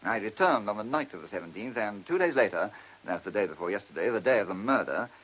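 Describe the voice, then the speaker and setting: A man acting a brisk, matter-of-fact police officer reporting to his inspector in a radio play. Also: lowish voice, lively intonation, a bit bright, husky, rough recording.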